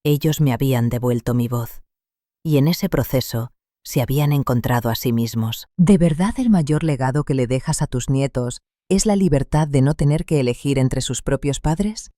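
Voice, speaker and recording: Mellow man narrating a calm story. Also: mid-range voice, moderate pace, no room sound, clean studio sound. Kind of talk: scripted first-person story narration.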